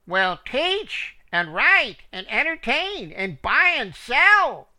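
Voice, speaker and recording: A man voicing a flock of demanding, indignant hens in a rhyming story, shrill and insistent. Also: sing-song, slow pace, pushed and bright, heavily acted.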